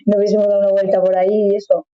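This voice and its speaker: A woman hosts an interview, chatty and encouraging, tossing in little tag questions. Her voice sits at a middle pitch, and the recording sounds dull and lo-fi. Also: little pitch movement, racing. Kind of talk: friendly casual interview.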